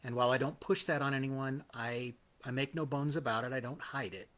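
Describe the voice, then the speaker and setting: A man hosting his own live podcast, a little hoarse after hours of singing, talking in a chatty, self-deprecating way with frequent restarts. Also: medium pitch, brisk, fairly bright tone. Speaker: podcast host. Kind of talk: live-streamed casual monologue.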